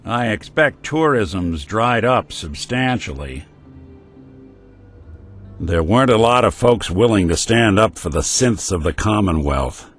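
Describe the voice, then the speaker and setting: Tired robot detective from a game, low gruff voice, dry drawl, faint music under it. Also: male voice, animated, unhurried. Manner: laconic, drawling, gruff noir delivery. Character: world-weary male synth detective, dry and wry. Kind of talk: game companion dialogue.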